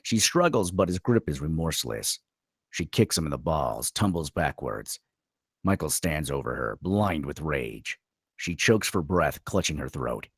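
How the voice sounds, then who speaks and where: A man with an extremely deep voice narrates screenplay stage directions. His delivery is dramatic, with pitch that swings widely, and the sound is dry and close. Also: sing-song, measured pace, a bit bright, breathy edge, dry recording.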